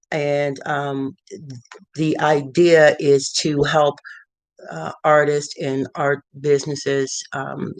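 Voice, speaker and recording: Middle-aged art consultant, mid pitch, loose rambling delivery, dead-dry room. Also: gravelly, no echo. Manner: rambling, many fillers and restarts. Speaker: visual artist and art consultant. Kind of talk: casual talking-head advice. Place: cluttered home studio.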